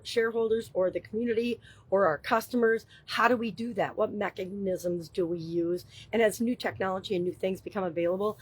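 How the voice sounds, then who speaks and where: Hoarse, husky woman business host. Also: mid-range voice, poor audio. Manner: upbeat, chatty, thinks aloud with fillers. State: hoarse, gravelly voice. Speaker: business coach and show host. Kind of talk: daily business tips monologue.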